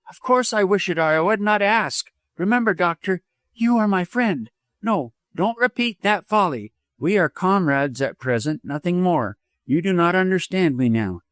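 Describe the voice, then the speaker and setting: A man voicing a proud, agitated, quick-tempered young widow in an audiobook, speaking emphatically and imperiously. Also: high voice, lively intonation, a bit bright, no room sound, pitched above the performer's own voice. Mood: petulant, commanding. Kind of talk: dramatized audiobook reading.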